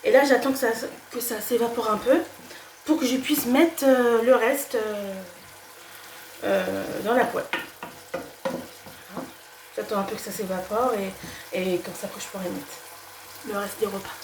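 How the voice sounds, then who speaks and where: A woman's voice: mid-range voice, steady tone, unhurried, pauses often, a little hiss or hum. A young woman with a slight cold talking casually through a home cooking video, hesitant and full of fillers and restarts. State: has a cold. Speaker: cooking vlogger. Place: home kitchen. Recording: echoey kitchen, voice from far off. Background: sizzling pan and stirring.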